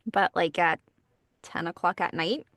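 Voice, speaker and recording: A woman co-hosting a podcast, telling anecdotes in an informal, halting way. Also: on the low side, steady tone, a bit bright, no room sound. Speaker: podcast co-host.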